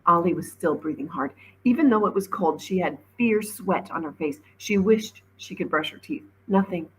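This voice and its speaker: Lower-voiced woman reading a story. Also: normal speed, a little hiss or hum, rough recording. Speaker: teacher reading aloud. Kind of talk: expressive storybook narration.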